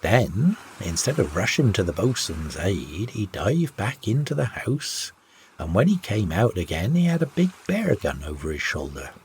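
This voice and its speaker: Male audiobook narrator for old seafaring tales, lowish voice, very varied pitch, old-fashioned raconteur delivery. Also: very animated, moderate pace, darkish tone, a little hiss or hum. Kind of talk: scripted storytelling, old sea yarn read aloud.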